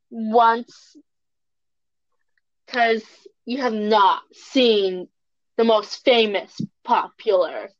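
A woman in casual chat, talking in a drawn-out, emphatic, gushing way. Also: medium pitch, no echo, muffled recording.

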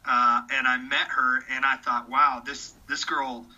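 A man's voice: medium pitch, level tone, measured pace, sharp tone, highs cut off. A man in his thirties in casual conversation, speaking earnestly about his beliefs and often repeating words as he starts a sentence. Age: 30s. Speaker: podcast guest.

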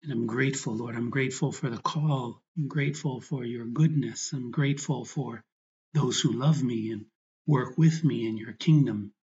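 A man speaking as a priest leading a guided prayer meditation, reverent and earnest, in short phrases with pauses. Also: mid-range voice, darkish tone, dull audio. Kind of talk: guided prayer, spoken meditation and gospel reading.

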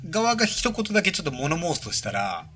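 A man's voice: fairly high pitch, huge pitch swings, fairly quick, fairly bright tone. A middle-aged man co-hosting a casual podcast chat, joking and self-deprecating as he talks things through. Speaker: podcast co-host and director.

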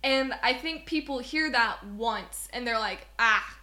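A young woman from Southwest Missouri co-hosts a podcast, talking casually and loosely with frequent laughs. Her voice is bright and sits a little high. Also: measured pace. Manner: chatty, rambling, laughs often. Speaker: podcast co-host.

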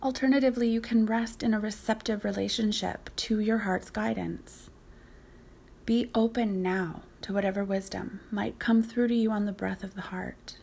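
A woman with a soft, husky, breathy voice guides a relaxation practice. She speaks at a middling pitch, often slipping close to a whisper. Her instructions come gently and without hurry, in a soothing way. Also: steady tone, normal speed. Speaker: yoga nidra teacher. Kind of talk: guided meditation narration.

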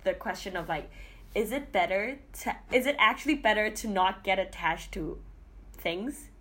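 A woman's voice: mid-range voice, expressive, moderate pace, fairly bright tone. A young woman on a podcast raising a question casually, hesitant and full of fillers. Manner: curious, hesitant, filler-heavy. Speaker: podcast co-host. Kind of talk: casual chat.

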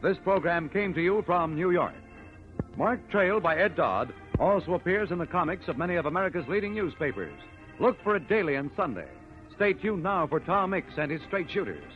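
A man's voice: fairly high pitch, sing-song, normal speed. A man narrating a 1950s radio adventure serial in a dramatic, declamatory way. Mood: formal. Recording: dull old radio recording with loud music.

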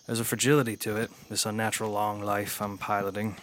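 An actor playing a calm, philosophical, long-lived gentleman in a radio drama, speaking in formal, measured phrases. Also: fairly low pitch, a little hiss or hum, close to an everyday voice. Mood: reflective. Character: eloquent, philosophical ageless gentleman, calm and earnest.

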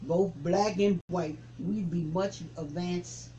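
Seventy-something reverend with preaching cadence. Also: male voice, high-pitched, steady tone, takes it slowly, dull audio, slight background noise. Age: 70s. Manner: preaching cadence, rising to forceful emphasis. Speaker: reverend, civil rights veteran. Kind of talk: interview reminiscence.

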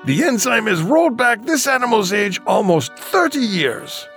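A man's voice: very high voice, very animated, moderate pace, a bit bright, soft background music, heavily acted. An actor playing an eccentric, scheming male scientist with a theatrical manner in a campy audio drama. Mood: excited, nervous.

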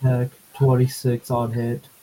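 A man's voice: medium pitch, monotone, measured pace, mellow, rough recording. A male tabletop game player chatting casually during play.

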